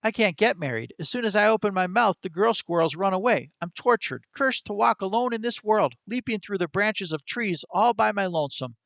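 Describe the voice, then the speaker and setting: A man voicing a clever, touchy male talking squirrel who talks quickly and complains with indignation. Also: sits high, expressive, gravelly, fairly bright tone, no echo, lo-fi sound, voice pushed up, heavily acted. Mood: self-pitying.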